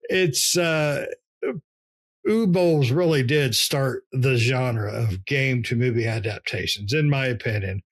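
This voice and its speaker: A man's voice: medium pitch, takes it slowly. A man co-hosting a podcast with casual, joking banter about movies and some offhand swearing. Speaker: podcast co-host.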